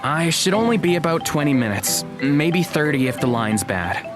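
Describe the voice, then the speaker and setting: A woman's voice: low voice, sing-song, normal speed, over music. A woman playing a sceptical, responsible teenage boy with a reluctant, chiding manner in an audio drama. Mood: apologetic, chiding.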